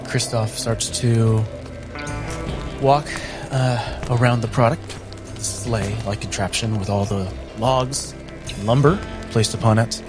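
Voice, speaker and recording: A man's voice: medium pitch, fairly even intonation, slow pace, over music. A man playing a tabletop game, improvising third-person narration of his character in a thoughtful, searching way.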